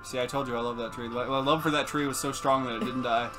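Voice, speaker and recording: A woman's voice: very deep voice, fairly even intonation, fast, music in the background. A woman hosting a casual let's play, joking crudely and swearing freely as she chats. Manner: crude, foul-mouthed, joking. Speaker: gaming video host.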